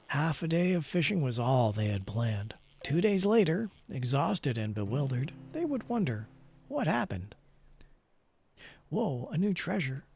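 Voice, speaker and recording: Man, higher-pitched voice, creaky, playful game streamer, storytelling read-aloud delivery. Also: very animated, measured pace, darkish tone.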